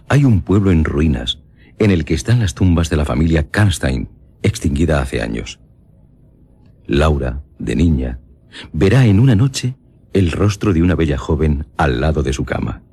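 Gravelly, very deep male story narrator. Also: mellow, no room sound. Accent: Castilian Spanish. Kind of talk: scripted literary narration for radio drama.

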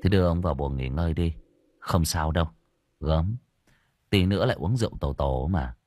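A man's voice: rumbling low, moderate pace, a little mellow, no echo, studio quality, pitched below the performer's own voice. A man voicing a blunt, bossy young spirit medium who scolds casually in a story. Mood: brisk, bossy.

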